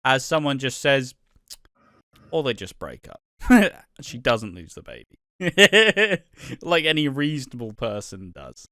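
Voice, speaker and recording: Man from England near the Welsh border, relaxed podcast co-host who laughs often, mid-pitched, recorded dry. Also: measured pace, no echo. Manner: laid-back, laughs often, trails off and restarts. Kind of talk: casual podcast chat.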